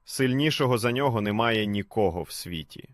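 A man's voice: on the low side, animated, fairly quick, no echo, clean studio sound, lightly acted. A man voicing humble, oppressed villagers in a folk tale. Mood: fearful. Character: frightened, oppressed villagers, grateful to their rescuer.

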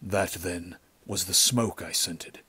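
A man voicing a grim but gentle wandering swordsman in a storybook, murmuring softly. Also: slow pace, breathy edge, no room sound, full character voice. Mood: grim, cold. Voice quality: soft, low murmur.

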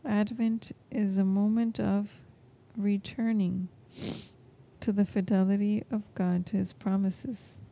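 A woman interprets a homily aloud, phrase by phrase. Her voice is very dark and mellow, at a middling pitch. The delivery halts between short phrases as she translates live. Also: little pitch movement, takes it slowly, vocal fry. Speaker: interpreter voicing a priest's homily in English. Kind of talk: live spoken translation of a sermon.

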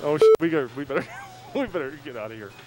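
A man's voice: a little high, expressive, quick pace, heavy background noise. A brash, joking man hosting a prank show, doing informal street interviews and commentary on location. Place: on location out in public.

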